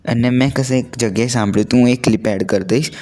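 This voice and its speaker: A man's voice: mid-range voice, fast talker, darkish tone. A young adult man chats casually and earnestly, telling stories in a conversational way and mixing in English words. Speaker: vlogger.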